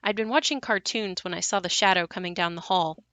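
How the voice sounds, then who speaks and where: An actress plays a young woman narrating memories of a haunted house in an audio drama. Her voice is clear-edged and bright, recorded close and dry. She confides in a matter-of-fact, wry and reflective way. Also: lowish voice, fairly quick, dry recording, lightly acted. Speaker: narrator and lead of an audio play. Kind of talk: first-person dramatic monologue.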